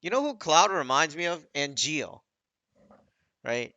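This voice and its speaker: Male gaming commentator, casual and conversational, bright forceful tone, very dry close sound. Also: a little high, very animated, unhurried, dry recording. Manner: conversational, addresses viewers with questions. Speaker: video game commentator. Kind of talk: casual running commentary over game cut scenes.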